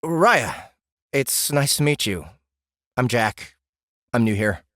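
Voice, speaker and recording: A man's voice: mid-range voice, big pitch swings, takes it slowly, sharp tone, no room sound, clean studio sound, voice pushed up, full character voice. A male narrator voicing an earnest young newcomer hero with a friendly, eager way of talking.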